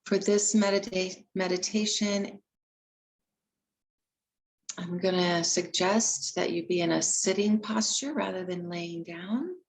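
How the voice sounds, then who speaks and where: A woman leading a guided meditation, giving gentle, unhurried instructions with long pauses between phrases. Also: fairly low pitch, slight background noise, low-quality recording.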